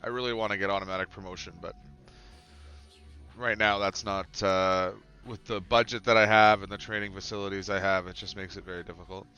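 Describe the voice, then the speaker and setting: Low-voiced stuffed-up man. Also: fairly quick, clear, resonant tone, soft background music. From Canada. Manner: relaxed musing, sudden excited reactions. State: stuffed up with a cold. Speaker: streamer playing a football management game. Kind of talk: casual gameplay commentary.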